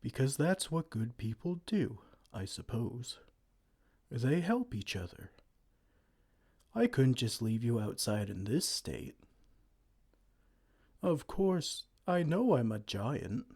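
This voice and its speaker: A man voicing a gentle, kind male giant who talks softly and soothingly in an ASMR roleplay. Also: medium pitch, very animated, slow, pauses often, breathy edge, full character voice. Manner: soft, soothing, comforting.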